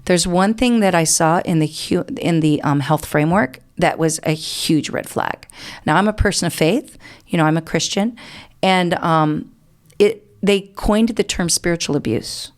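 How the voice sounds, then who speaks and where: A woman's voice: lowish voice, moderate pace. A middle-aged American woman answering as an interview guest, explaining things earnestly in a conversational way.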